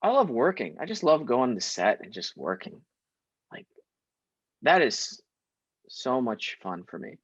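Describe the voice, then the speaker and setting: A man's voice: medium pitch, sing-song, measured pace, pauses often, breathy edge, no room sound, dull audio. A young man born in Syria, a guest actor talking casually and emphatically in an interview, laughing often.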